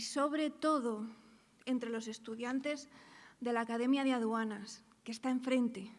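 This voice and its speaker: An actress playing a coquettish, wistful young single woman of 1890s Madrid in a theatrical radio-play monologue, telling her story coyly and deliberately. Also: sits high. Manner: expressive, coy, deliberate storytelling with drawn-out phrases. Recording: echoey hall reverb, live reading.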